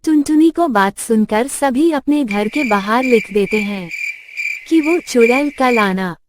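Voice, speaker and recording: A woman's voice: on the high side, a little slow, background noise. A woman reading scripted narration for a children's cartoon story. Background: music.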